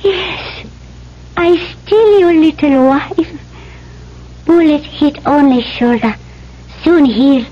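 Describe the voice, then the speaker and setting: A devoted young island wife speaks in a very high, slow voice. Her English is halting, simple pidgin, played in vintage radio drama style. The old recording is dull with faint noise. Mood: solemn, tender.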